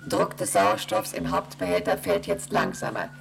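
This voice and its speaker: A woman voicing a cold, rule-bound station computer in a radio play, reciting rules and figures in a flat, mechanical way. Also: very deep voice, moderate pace, gravelly, slight background noise.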